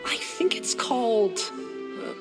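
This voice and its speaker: A woman's voice: on the high side, big pitch swings, slow pace, big-room sound, music in the background. An actress playing a friendly, chatty, easygoing young woman in a video game, affable and musing. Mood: friendly, curious.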